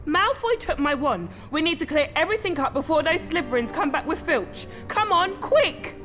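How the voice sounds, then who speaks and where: Feisty teen girl, really high sharp voice, urgent, hall echo. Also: animated, normal speed. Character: feisty, urgent teenage schoolgirl. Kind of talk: video game cutscene acting. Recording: slight hall reverb, soft game music.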